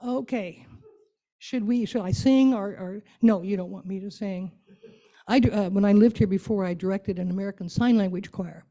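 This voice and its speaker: A woman's voice: mid-range voice, moderate pace, dark tone. A woman giving an informal, playful and conversational talk to a group, coaxing them to join in. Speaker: guest speaker leading an interactive church talk.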